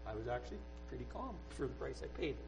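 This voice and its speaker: A man sharing a personal testimony at a church service, speaking earnestly and haltingly with many restarts. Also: mid-range voice, sing-song, creaky, a little mellow, faint music behind, rough recording. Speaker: church member giving a testimony. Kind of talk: rambling spoken testimony.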